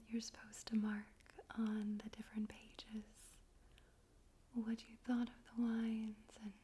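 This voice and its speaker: A woman speaking in a soft, breathy whisper, gently and carefully, in an ASMR style for poetry reading. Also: a little high. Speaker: ASMR host and poetry reader. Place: room in a home in daytime.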